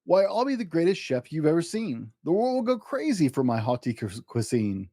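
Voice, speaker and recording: A man's voice: on the high side, moderate pace, no echo, studio quality. A man voicing an exuberant, boastful eight-year-old schoolboy in a theatrical, rhyming picture-book story. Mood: grandly boastful. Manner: excitable, grandiose, theatrical.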